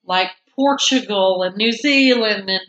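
A woman's voice: mid-range voice, unhurried, fairly bright tone. Congested woman, podcast co-host, casual rambling chat, echoey and a bit muffled. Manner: rambling, self-interrupting, laughs often.

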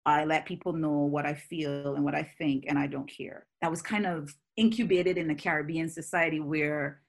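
A woman speaks in a voice that sits very low for a woman. She is a scholar guest in an interview, outspoken and animated, running through long, connected thoughts. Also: moderate pace. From Barbados. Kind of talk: conversational interview.

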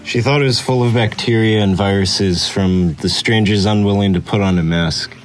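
A man's voice: on the low side, fairly even intonation, normal speed, faint music behind, rough recording. A man reading a personal essay aloud as scripted narration. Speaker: essay reader.

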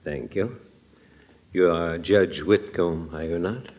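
An actor playing a soft-spoken, menacing killer in an old-time radio drama, hushed and formally polite. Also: male voice, low-pitched. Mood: eerily polite. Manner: slow, formal, eerily polite. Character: soft-spoken menacing strangler who claims he is dead. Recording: muffled vintage radio recording.

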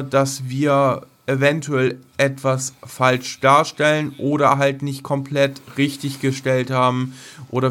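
A man's voice: mid-range voice, fairly bright tone. A man co-hosting an unscripted podcast, chatting informally in colloquial speech sprinkled with English slang. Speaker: podcast co-host. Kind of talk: casual unscripted podcast chat.

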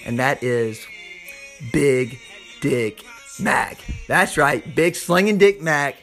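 A man with a Southern US accent and a slightly hoarse voice, hosting an informal sports podcast like a hyped showman, stretching words and repeating phrases. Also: fairly high pitch, lively intonation, slow pace, fairly bright tone, faint music behind. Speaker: podcast host.

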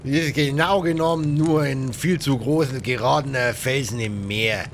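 A man's voice: mid-range voice, normal speed, slight background noise, pitched above the performer's own voice, close to an everyday voice. A man voicing a pirate crewman in an audiobook, telling tales in a colloquial, confiding way.

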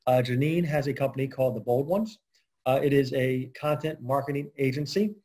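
A man's voice: medium pitch, fairly even intonation, brisk, a little mellow. A man hosting an interview podcast, talking casually with a lot of fillers and restarts. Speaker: podcast host. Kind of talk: conversational interview.

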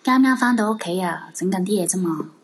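Woman acting nervous haunted wife, very animated. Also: medium pitch, fairly quick, breathy edge. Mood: uneasy, softened. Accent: Hong Kong Cantonese. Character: nervous young married woman haunted by a ghost. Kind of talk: radio drama acting.

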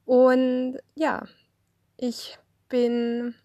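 A woman in her thirties from Swabia talks freely in an unscripted podcast, her voice set a little high. She rambles, fills gaps with hesitations and slips into small laughs. The sound is close and dry, recorded in a parked car. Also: monotone, very slow, mellow, no room sound. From Swabia, Germany. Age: 30s. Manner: rambling, with many fillers and laughs. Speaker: podcast host. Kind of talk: unscripted personal podcast monologue.

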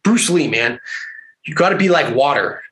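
A man's voice: on the high side, lively intonation, moderate pace, fairly bright tone, poor audio. A man in casual chat who rambles, swears freely and laughs often.

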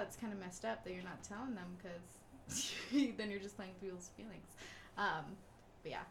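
Woman, late 20s, reflective podcast talker, mid pitch. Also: a little hiss or hum. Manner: thoughtful, lots of "um", steady. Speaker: co-host. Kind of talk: casual podcast chat.

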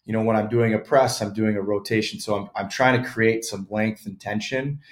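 A man's voice: lowish voice, steady tone, fairly quick. A man in his thirties, a former pro hockey player, explaining things casually as a podcast guest and hedging his claims. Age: 30s. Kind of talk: casual interview chat.

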